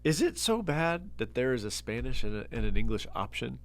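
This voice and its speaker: A middle-aged man co-hosting a podcast in casual chat, rambling with frequent restarts and getting animated when making a point. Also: mid-range voice, a little fast. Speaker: podcast host.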